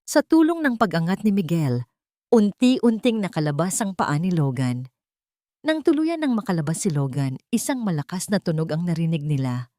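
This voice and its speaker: A woman's voice: lowish voice, mellow, no echo, clean studio sound. A woman telling a scripted love story as a dramatic narrator.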